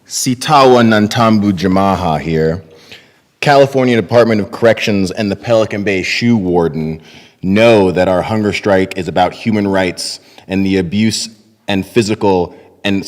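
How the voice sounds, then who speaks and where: Man as low-voiced grave prisoner. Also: lightly acted. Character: grave male prisoner and hunger striker. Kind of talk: readers theater, scripted reading. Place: large temple hall, at a lectern. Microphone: microphone on a stand at lectern. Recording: reverberant hall recording.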